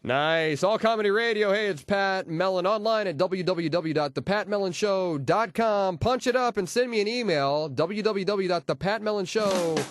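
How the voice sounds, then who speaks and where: Guy djing a comedy station, pretty high voice, cracking jokes, punchy, super dry studio mic. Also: normal speed, hardly any pauses, no echo. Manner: punchy, wisecracking delivery. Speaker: radio host and DJ. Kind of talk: comedy radio air-check patter with jokes. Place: radio studio. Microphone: close radio studio microphone.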